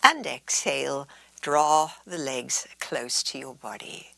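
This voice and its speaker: A woman teaching yoga in a soothing, unhurried way, guiding relaxation and gentle stretches and drifting into breathy near-whispers. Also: very deep voice, animated, dry recording.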